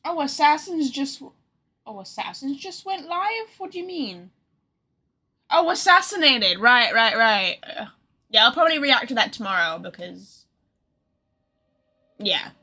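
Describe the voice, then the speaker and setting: A woman's voice: high voice, expressive, a little slow, long pauses, sharp tone. A woman livestreamer chatting casually and reacting to a game in an informal, teasing way. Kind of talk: casual stream chat, reacting to game dialogue.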